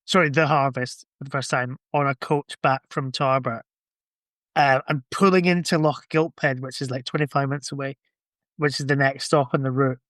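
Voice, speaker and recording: A man's voice: mid-range voice, fairly even intonation, normal speed, fairly bright tone, dry recording. A man chatting casually on a podcast in an informal, reflective and enthusiastic way. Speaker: podcast speaker. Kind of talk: casual chat.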